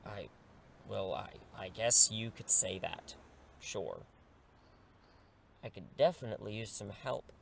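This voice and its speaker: A man's voice: on the low side, animated, unhurried, vocal fry, pauses often, slight background noise. A man playing a wordy superhero in his thirties who is secretly an English teacher, theatrical but easily flustered, in a comedic audio drama. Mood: awkward, sheepish. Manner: glib, wordy, awkward when cornered.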